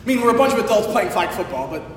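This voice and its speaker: High-voiced, slightly hoarse man preaching in echoey hall. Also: big pitch swings, rapid-fire. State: hoarse from shouting the day before. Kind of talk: conversational storytelling sermon. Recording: echoey large hall, distant, faint rumbling noise.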